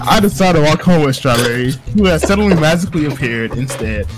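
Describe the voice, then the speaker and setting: A man's voice: a little high, animated, fast. A man reading visual novel narration aloud in a casual, profane, joking way. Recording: faint music behind the voice.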